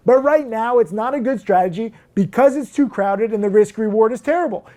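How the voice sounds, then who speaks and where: A man who teaches stock trading, talking casually to camera in a brash, emphatic way that turns loud and worked up, with crude jokes and lots of "um" and "like". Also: very high voice.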